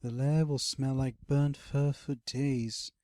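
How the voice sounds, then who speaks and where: A man voicing a wry, teasing female blue dragon in a fantasy audiobook, with an expressive manner. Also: medium pitch, slow, dry recording, clean studio sound, natural voice. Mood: wry grumbling.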